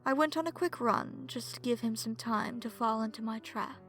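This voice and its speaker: A woman playing a seductive, smug and predatory vampire in an ASMR horror story, speaking in a sultry, breathy way that slips into whispers. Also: fairly high pitch, moderate pace, a bit bright, soft background music. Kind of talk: ASMR horror story narration in first person.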